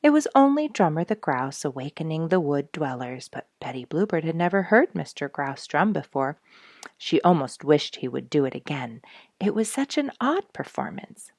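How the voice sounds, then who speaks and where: A woman's voice: low voice, sing-song, normal speed, a little mellow, no echo. A woman reading a children's storybook aloud expressively, speaking directly to young listeners. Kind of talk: animated storybook read-aloud.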